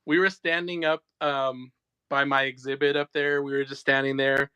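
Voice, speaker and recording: A man's voice: medium pitch, flat delivery, normal speed, sharp tone, smooth, no echo. A man in his forties, a guest in a casual chat, easygoing and laughing often as he trails off. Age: 40s.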